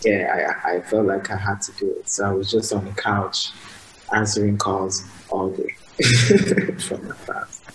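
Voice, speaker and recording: Young Nigerian man, low voice, relaxed interview guest, slightly echoey room with faint ambience. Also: unhurried, a little hiss or hum. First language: Igbo. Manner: soft-spoken, relaxed, lots of fillers. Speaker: guest musician. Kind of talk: casual radio interview. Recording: roomy sound with faint ambience.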